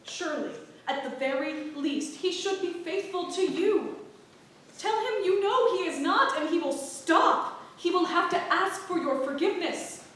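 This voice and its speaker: A woman's voice: animated, measured pace, fairly bright tone, exaggerated voice. A woman playing an earnest, questioning, devout wife in a period stage play, speaking sincerely and insistently. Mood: urgent, reproving. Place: theatre stage. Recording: roomy echoing stage, distant mic, rumble.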